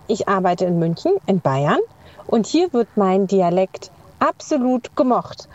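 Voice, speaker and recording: A woman's voice: medium pitch, darkish tone. A woman from Brandenburg chatting cheerfully and colloquially in a voice message. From Brandenburg, Germany. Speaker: listener sending a voice message. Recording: dull voice message.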